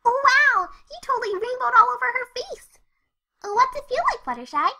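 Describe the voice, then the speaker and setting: Casting: an exuberant cartoon pony girl storyteller, extremely high and bright, animated delivery. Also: normal speed, exaggerated voice. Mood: excited. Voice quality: squeaky, girlish. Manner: exuberant, gushing. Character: bubbly excitable young female pony narrating. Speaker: fan fiction reader. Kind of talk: animated first-person story narration.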